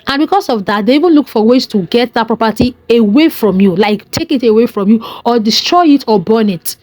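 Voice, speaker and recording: A woman's voice: a little high, lively intonation, brisk, darkish tone, no echo. A young woman hosting her own channel, commenting conversationally on news and giving her own opinion. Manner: conversational, reacts with surprise, gives own opinion. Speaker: channel host. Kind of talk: commentary vlog.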